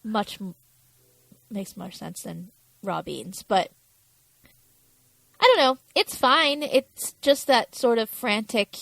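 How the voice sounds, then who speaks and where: Creaky-voiced woman in casual podcast chat. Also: a little high, lively intonation, slow pace, pauses often, a bit bright, no echo, poor audio. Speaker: podcast co-host. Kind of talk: casual discussion of a TV episode.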